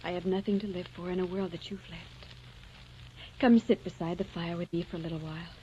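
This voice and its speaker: A woman's voice: fairly low pitch, fairly even intonation, brisk, slight background noise, close to an everyday voice. Tender, wistful woman in dull vintage radio melodrama, played by an actress. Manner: soft, romantic, wistful melodrama. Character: tender, devoted young woman in a doomed love affair. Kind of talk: 1940s radio drama acting. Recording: dull old radio recording, soft music behind.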